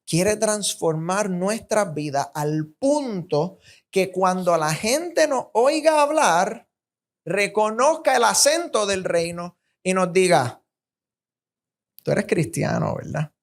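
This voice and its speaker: A woman with a Puerto Rican accent delivers a sermon at a slow, deliberate pace. Her pitch sits in a middle register. She drives points home with emphatic, repeated phrasing. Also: animated. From Puerto Rico. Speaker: preacher.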